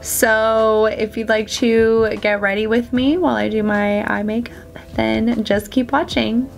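A woman's voice: fairly high pitch, steady tone, unhurried, gravelly, faint music behind. A woman in her thirties with a stuffy, nasal cold voice, chatting upbeat while doing her eye makeup on camera. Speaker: beauty vlogger. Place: small indoor room before a plain backdrop.

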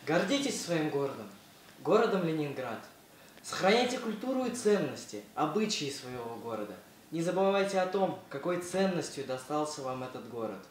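A middle-aged woman with a lowish voice gives a firm, admonishing address. She sounds far from the mic, in a strongly echoing room with clear background noise. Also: very animated, moderate pace. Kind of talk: formal address. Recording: distant, echoey, noisy room.

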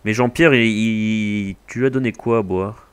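Low-voiced man joking through a co-op farm game. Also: normal speed, smooth, dry recording. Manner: relaxed, joking banter. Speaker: gaming channel host. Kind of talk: casual co-op gameplay chat.

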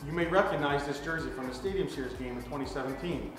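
A man's voice: fairly high pitch, fast talker, fairly bright tone, soft background music. A man hosting a scripted promo video for a hockey store, reading steadily. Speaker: host. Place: hockey gear store, indoors. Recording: echoey room from far off.

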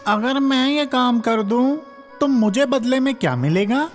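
A woman's voice: on the high side, animated, faint music behind, natural voice. A woman voicing a small, sly, demanding male dwarf in a children's cartoon story. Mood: sly, bargaining.

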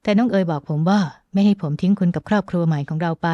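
A woman voicing a wounded, devoted young husband in a romance audiobook, gentle, earnest and reassuring. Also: lowish voice, rapid-fire, no echo, lo-fi sound, natural voice. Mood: earnest, loving.

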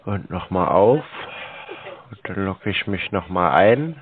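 A man's voice: low voice, expressive, slow. A man on a phone call, speaking as a pedantic, questioning customer who plays clueless. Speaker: scam-baiter posing as a customer on a call. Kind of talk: phone conversation. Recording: muffled narrow phone-like sound, dry.

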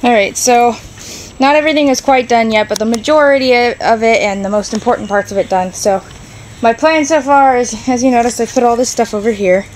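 A woman's voice: a little high, a little fast, slight background noise, poor audio. A young woman filming her barn on a handheld phone, talking casually and thinking aloud with plenty of ums as she walks around. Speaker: rabbit keeper vlogger. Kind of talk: casual vlog walkthrough. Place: straw-filled barn and yard, indoors and out. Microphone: handheld phone camera.

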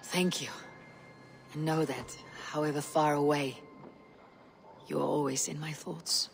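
A woman's voice: sits low, fairly even intonation, a little slow, vocal fry, slight background noise. An actress playing a steadfast, earnest female Viking warrior in a video game, guarded and sincere in manner. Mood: guarded, tender. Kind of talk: dramatic video game acting.

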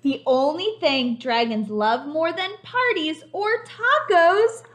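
Animated, high-pitched young woman reading to preschoolers. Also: slow pace. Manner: animated, simple explanatory teacher talk for small children. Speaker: preschool teacher. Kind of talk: children's picture-book read-aloud with questions to the listeners. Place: home kitchen, indoors.

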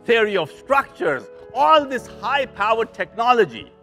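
An older man giving a motivational keynote on stage in a large conference hall, animated and joking with preacher-like emphasis. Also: extremely high pitch, a little slow, creaky, faint music behind. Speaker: keynote speaker. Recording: long hall reverb.

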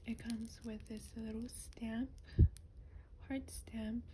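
A woman speaking softly and breathily, often whispering, in a gentle, unhurried ASMR show-and-tell. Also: a little high, level tone. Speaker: doll collector showing her collection. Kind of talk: soft-spoken ASMR product show-and-tell. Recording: noisy lo-fi ASMR recording.

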